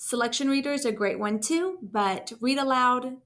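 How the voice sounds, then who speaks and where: A woman talking through a casual screen-share software tutorial as a trainer, in an informal, enthusiastic and encouraging way. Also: high-pitched, lively intonation, moderate pace. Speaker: school district technology trainer.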